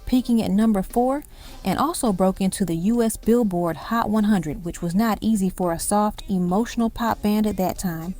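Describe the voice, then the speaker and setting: Mellow woman narrating a music countdown. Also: medium pitch, normal speed. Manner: evocative storytelling in short dramatic phrases.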